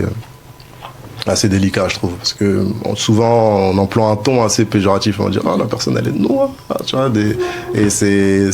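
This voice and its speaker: Man, host, low gravelly voice, halting delivery, faint background noise. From France. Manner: hesitant, frequent fillers and restarts. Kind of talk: casual discussion.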